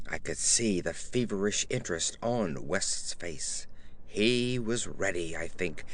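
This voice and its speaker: A man narrates a horror tale in a theatrical, measured manner. His mid-pitched voice rises and falls widely through the literary prose. There is clear noise behind him. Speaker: audiobook narrator. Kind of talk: dramatic scripted narration of a horror story.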